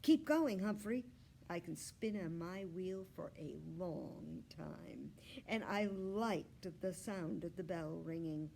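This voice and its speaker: A woman narrates a children's storybook in a slightly low voice. She takes her time, with deliberate, expressive delivery. There is some faint noise behind her. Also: gravelly. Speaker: children's librarian reading aloud.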